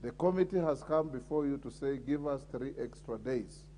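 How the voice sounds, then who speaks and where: A man's voice: mid-range voice, moderate pace, warm tone, slight background noise. A middle-aged Kenyan man chairing a parliamentary debate as speaker, firmly calling members to order. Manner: firm, calling order repeatedly. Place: large parliament chamber. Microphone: desk microphone.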